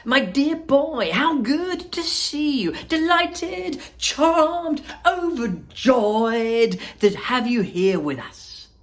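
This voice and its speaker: Woman acting eccentric little man, storybook character, high fluty pitch, effusive welcomes, drawn-out delighted words, very lively intonation. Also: heavily acted. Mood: gushing, delighted. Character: excitable, high-voiced, eccentric little man, gushing chocolate factory owner.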